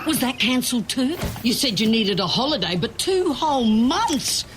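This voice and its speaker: Harried middle-aged mum for radio drama played by a woman: nagging, protective, very lively pitch, noisy location sound. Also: lightly acted. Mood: exasperated. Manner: brisk, scolding. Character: harried, nagging, protective mother of grown sons. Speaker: restaurant owner. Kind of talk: audio drama acting.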